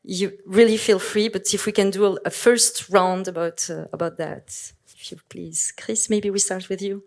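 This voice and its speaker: A woman's voice: mid-range voice, steady tone, normal speed, a little mellow. A woman from Morocco whose first language is French, moderating a conference panel in English on a handheld microphone, talking with many hesitations and self-corrections and laughing often. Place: conference hall.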